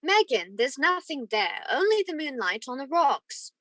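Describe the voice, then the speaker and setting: Radio drama role for a woman: romantic young gentleman, tender and earnest, slightly high, dry close sound. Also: big pitch swings, a little slow, dry recording, exaggerated voice. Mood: concerned. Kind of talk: radio play acting and narration.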